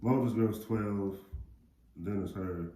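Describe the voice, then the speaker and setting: A middle-aged American man with a deep voice, chatting casually in a rambling, plain-spoken way as a parent giving advice. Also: slow, mellow. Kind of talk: casual chat. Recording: roomy echo, faint background noise.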